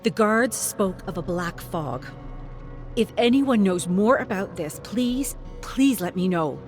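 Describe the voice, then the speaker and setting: A woman plays an earnest mother appearing as a guest in a dramatic story. She speaks with insistent emotion and lively pitch, with music underneath. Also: slow pace. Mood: pleading. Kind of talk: dramatic audiobook character voice.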